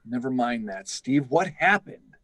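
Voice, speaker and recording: A man's voice: medium pitch, animated, a little slow, a bit bright, dry recording. An actor playing a skeptical, exasperated, no-nonsense man in an old-time radio science fiction drama. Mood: concerned, skeptical. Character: skeptical, exasperated male friend of a pilot, no-nonsense.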